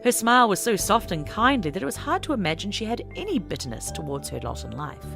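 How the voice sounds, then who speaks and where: A woman's voice: mid-range voice, big pitch swings, fast, creaky, a bit bright, faint music behind. A woman reading a scripted story aloud as an audiobook narrator.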